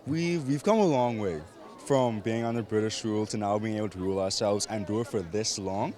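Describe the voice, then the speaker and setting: A man's voice: fairly low pitch, a little fast, darkish tone, some chatter behind. A man with a Bahamian accent, speaking earnestly and proudly in a street interview. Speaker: event host.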